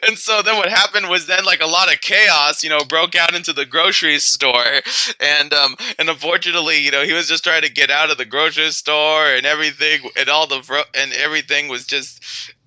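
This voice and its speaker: A man with a fairly high, strikingly bright and forceful voice spins an improvised tale. He rambles and repeats himself in an excitable way. The sound is dry and close. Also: brisk, clean tone, no echo, poor audio. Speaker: storyteller. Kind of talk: improvised spoken storytelling in character as a magical bear.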